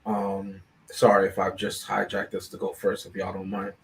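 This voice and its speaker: A man on a movie review panel, explaining and discussing a film casually in a measured, deliberate way. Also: deep voice, completely flat delivery, creaky.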